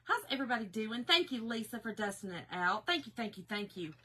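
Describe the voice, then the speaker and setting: Hoarse, bright woman chatting live. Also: a little high, very animated, fast talker. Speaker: craft livestream host. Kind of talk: casual livestream chat greeting viewers. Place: home office.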